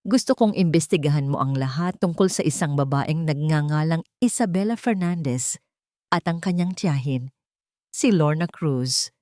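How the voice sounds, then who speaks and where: A firm, protective young gentleman from a romantic drama, voiced by a woman narrator. He speaks calmly but insists, with lively intonation and a dry, close sound. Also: deep voice, moderate pace, no room sound. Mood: determined. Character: concerned, firm, protective young wealthy man.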